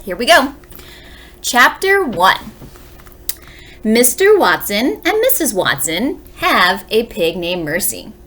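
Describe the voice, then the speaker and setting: A woman's voice: on the high side, sing-song, slow, pauses often, a bit bright. A woman teacher giving a read-aloud lesson for young children, explaining and reading as she speaks to them directly. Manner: addresses young listeners directly with simple questions. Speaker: elementary school teacher.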